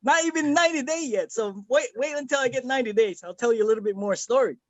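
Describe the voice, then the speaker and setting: A man's voice: very high-pitched, fast, no room sound, lo-fi sound. A man from the Philippines giving an earnest, rambling testimonial over a video call, with many fillers and restarts. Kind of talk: casual video-call chat.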